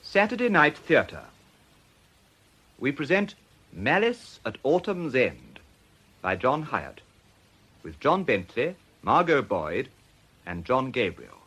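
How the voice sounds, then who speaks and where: A man's voice: mid-range voice, sing-song, takes it slowly, long pauses, fairly bright tone, breathy edge. A man formally introducing a radio play as its announcer.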